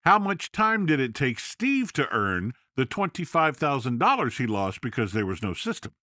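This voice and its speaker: A man's voice: mid-range voice, big pitch swings, moderate pace, pushed and bright, no room sound, clean studio sound. A man reading as a seasoned builder explaining. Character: seasoned male contractor sharing his system.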